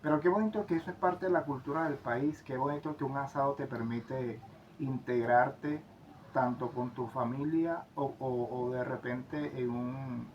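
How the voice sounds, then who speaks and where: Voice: mid-range voice, steady tone, unhurried, darkish tone, a little hiss or hum. Enthusiastic co-host, lo-fi. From Venezuela. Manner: enthusiastic, many fillers and restarts. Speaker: co-host of travel channel. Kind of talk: casual conversational commentary.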